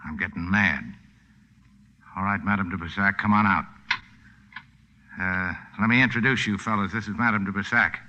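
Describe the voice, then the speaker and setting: An actor in a 1940s radio drama playing a tough, sardonic boat captain with a clipped, commanding, deadpan delivery. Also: male voice, on the low side, moderate pace, pauses often. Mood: menacing, curt. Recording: muffled old radio broadcast recording.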